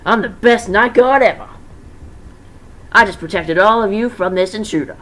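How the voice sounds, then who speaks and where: A performer voicing an excitable, boastful male night guard in a fan fiction reading. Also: mid-range voice, moderate pace, pitched above the performer's own voice, lightly acted. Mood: triumphant. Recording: noisy amateur home recording.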